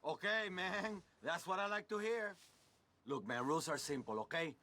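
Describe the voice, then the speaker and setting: An actor playing a boastful roguish gambler in a western video game, with a cocky, drawling, theatrical delivery. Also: male voice, sits high, animated, moderate pace, pushed and bright. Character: boastful roguish male gambler challenging strangers to shooting contests.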